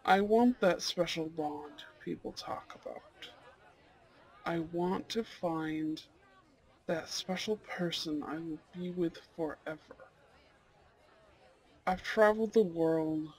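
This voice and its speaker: Slow, higher-voiced gentle Goth guy acted by a man. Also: poor audio. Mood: wistful, earnest. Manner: soft-hearted, hesitant, sincere. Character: gentle, earnest young Goth man on a blind date. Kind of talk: intimate roleplay ASMR acting.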